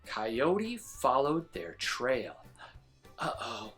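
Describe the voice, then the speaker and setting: A woman reading a children's picture book aloud as an expressive storyteller. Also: rumbling low, extremely slow, faint music behind.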